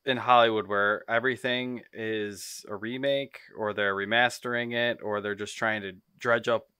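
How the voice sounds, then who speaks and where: Man, podcast co-host, lowish smooth voice, casual blunt opinions, dry sound. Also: fairly even intonation, normal speed, sharp tone, no echo. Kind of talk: casual chat.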